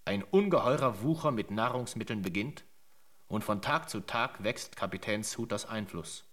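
A man's voice: fairly low pitch, expressive, moderate pace, a bit bright. Sober radio drama narrator, male. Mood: grave, urgent. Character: sober chronicler narrating a gold rush history.

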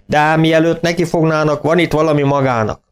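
A man voicing a jovial, chubby, boastful farmer who teases loudly and laughs a lot, in a read-aloud story. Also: fairly high pitch, fairly even intonation, clear, resonant tone, pitched above the performer's own voice, lightly acted. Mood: jovial teasing. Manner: loud, jolly, teasing.

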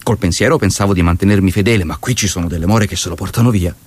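A man's voice: sits low, racing, a little mellow, husky. An actor playing a passionate, idealistic revolutionary, reading his letters and speeches in a theatrical way. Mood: affectionate. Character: Che, passionate, idealistic revolutionary and faraway husband.

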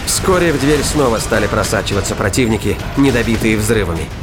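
A man's voice: lowish voice, animated, brisk. A man reading scripted narration for a science fiction thriller audio drama. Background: music and sound effects.

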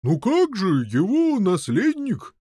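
A man's voice: high-pitched, big pitch swings, slow, mellow, no echo, clean studio sound, pitched above the performer's own voice, full character voice. A man voicing a pompous, indignant old knight who grumbles and blusters in an audiobook story. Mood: puzzled.

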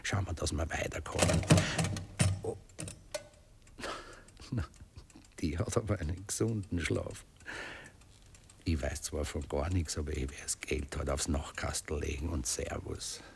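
An actor playing a dreamy, philosophizing gentleman with a husky voice and Viennese accent, musing haltingly in a 1960s radio play. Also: a bass voice, a little hiss or hum, full character voice. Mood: musing, muttering. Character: dreamy, philosophizing gentleman waking after a night out.

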